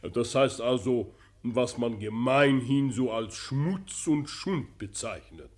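A man's voice: medium pitch, lively intonation, takes it slowly. A man playing a pompous, self-righteous professor and moral crusader in a German radio play, didactic and easily affronted. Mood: lecturing, solemn.

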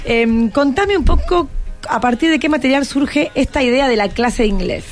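A woman's voice: sits high, expressive, moderate pace. A woman with an Argentine Rioplatense accent, hosting a casual radio interview with students. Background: music.

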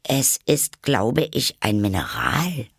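A man's voice: medium pitch, huge pitch swings, slow pace, creaky, fairly bright tone, slightly rough, no room sound, studio quality, pitched above the performer's own voice, exaggerated voice. A man voicing a polite, careful young girl who speaks up eagerly in a raised voice.

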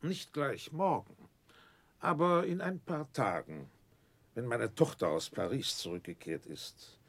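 Pompous gentleman proctor, creaky, animated pitch, self-satisfied, formal, vintage radio play with faint noise. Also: unhurried. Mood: courteous, formal. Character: pompous, class-proud widowed gentleman proctor, courteous employer. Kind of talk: 1960s German radio drama acting.

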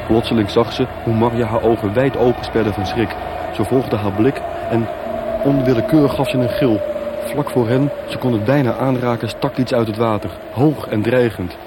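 A man's voice: mid-range voice, steady tone, moderate pace, heavy background noise. A man narrating a radio play as scripted, dramatic storytelling. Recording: music bed behind. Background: music.

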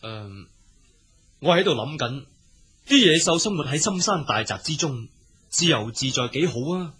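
An actor playing a gentle, compassionate young prince in a radio drama, earnest and given to sighing and musing aloud. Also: male voice, fairly high pitch, expressive, normal speed, breathy edge, heavily acted. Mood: earnest pleading. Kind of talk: Cantonese radio drama acting.

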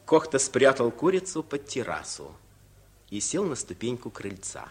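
A man's voice: medium pitch, big pitch swings, measured pace, husky. A man narrating a radio play from a script, setting the scenes.

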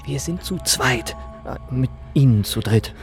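Ein Schauspieler spielt in einem Krimi-Hörspiel einen nervösen, zugleich beruhigenden Banker. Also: male voice, medium pitch, expressive, a little slow, faint music behind. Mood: uneasy. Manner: hesitant, reassuring. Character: nervous but reassuring banker stranded in the dark. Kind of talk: German radio crime drama acting.

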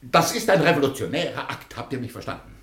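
An actor playing a stern, aggrieved middle-aged governor and family patriarch in a German radio drama. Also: male voice, medium pitch, expressive, fast talker, a bit bright, roomy sound, close to an everyday voice. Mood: indignant, bitter. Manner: authoritarian, aggrieved.

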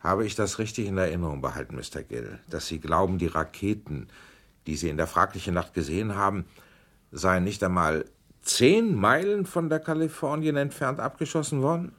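A persistent male investigator at an official hearing in a radio play. His voice sits low, and his formal questions press hard on the witness. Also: moderate pace, fairly bright tone. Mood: probing. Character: probing male committee investigator. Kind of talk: 1980s radio drama acting.

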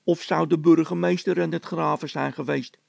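An eager village workman from a storybook, put on by a male reader, excitable and full of questions. His loud voice is pitched well up and has a rough edge. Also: normal speed, no echo. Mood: eager, questioning.

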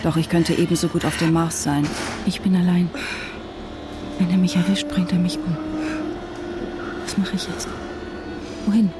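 A woman's voice: on the low side, fairly even intonation, normal speed, long pauses, music in the background, lightly acted. A woman playing a distraught, suspicious wife and mother in a German radio drama, narrating and speaking. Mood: tense, inward.

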